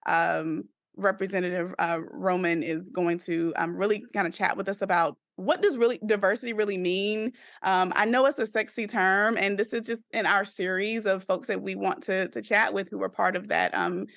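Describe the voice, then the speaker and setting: Female interviewer, conversational and wordy, halting phrasing, gravelly fry, very dry recording. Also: mid-range voice, fairly even intonation, a bit bright, no echo. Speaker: podcast host.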